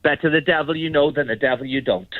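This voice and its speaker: A man's voice: fairly high pitch, quick pace, dry recording. An indignant man gives his political opinions in a blunt, clipped way. The sound is thin and phone-like, and completely dry. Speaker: vox pop interviewee. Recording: dry tinny clip, radio-like.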